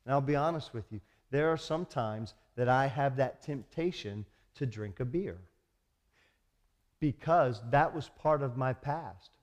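A man's voice: mid-range voice, a little mellow. A man preaching a sermon as a pastor, emphatic, often putting rhetorical questions to his congregation.

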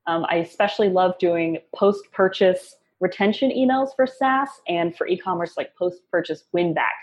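A woman guest who writes conversion copy, talking in a casual, upbeat way with quick asides and self-deprecating humor. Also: lowish voice, muffled recording. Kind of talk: casual interview talk.

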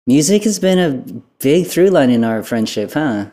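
A woman's voice: sits low, sing-song, normal speed, mellow. A woman in her thirties hosting a podcast, chatting casually in a friendly interview style. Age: 30s. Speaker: podcast host.

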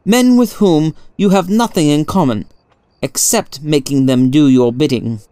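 A man voicing a sharp, thoughtful detective in a storybook, with a formal, incisive way of speaking. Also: fairly high pitch, unhurried, no echo. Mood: sharp, challenging.

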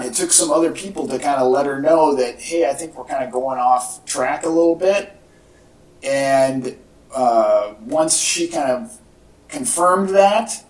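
A man talking casually as a podcast co-host, in a halting, conversational way. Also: mid-range voice. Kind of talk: casual chat about movie news. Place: indoor room with echo. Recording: echoey room, distant from mic.

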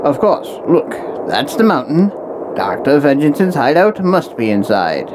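A woman's voice: sits low, sing-song, unhurried, heavy background noise. A woman playing a bombastic, overconfident superhero team leader in a comedy audio drama, commanding and grandiose.

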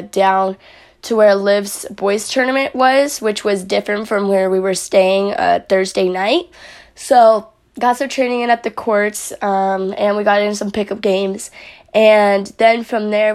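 A young woman with a raspy, hoarse voice from a week of coaching, chatting through a rambling recap vlog with lots of fillers. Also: medium pitch. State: hoarse from yelling while coaching. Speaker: vlogger and athlete.

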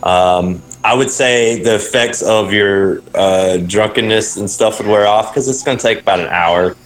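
A man narrating a tabletop game as dungeon master, pausing often with fillers. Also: lowish voice, rough recording. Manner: hesitant, many fillers.

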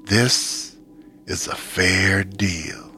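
A monstrous insect creature with a human face, voiced by a man hisses in a deep, buzzy voice. Every word comes slowly and deliberately, low-pitched and cold. Faint music plays behind it. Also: sharp tone, full character voice. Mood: menacing. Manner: slow, measured, menacing. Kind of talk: horror story narration.

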